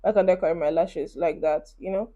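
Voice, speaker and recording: A woman's voice: on the low side, brisk, dull audio. Chatty young Nigerian woman vlogger. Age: 20s. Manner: chatty, encouraging, talks while doing makeup. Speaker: beauty vlogger. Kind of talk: casual get-ready-with-me chat to camera. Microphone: phone camera.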